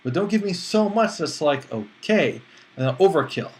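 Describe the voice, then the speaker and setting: Man reviewing movies in a higher-pitched voice. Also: lively intonation, moderate pace, a little mellow. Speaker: movie critic and host. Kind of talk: casual spoken film review.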